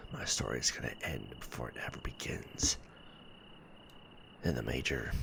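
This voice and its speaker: Male actor for stranded sci-fi soldier: worn-out, bitter, rock-bottom pitch, heavy vocal fry, muttered ASMR log delivery with sighs. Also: a bit bright, slight background noise, heavily acted. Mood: sardonic frustration, then grieving, anguished. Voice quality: very deep, gravelly, breathy murmur. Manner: low muttering with sighs and hesitant pauses. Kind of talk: sci-fi ASMR roleplay audio log monologue.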